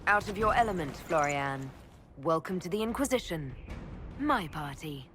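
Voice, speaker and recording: An actress playing a calm elf mage leader in a video game, direct and composed. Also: female voice, deep voice, normal speed, faint music behind. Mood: cool. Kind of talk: dramatic video game dialogue. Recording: slight background noise and ambience.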